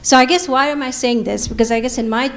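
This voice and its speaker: A woman's voice: high voice, quick pace, a little hiss or hum. Earnest woman in her forties. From Bangladesh. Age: 40s. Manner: earnest, emphatic, repeats key phrases. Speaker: panelist, social entrepreneur. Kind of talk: panel talk.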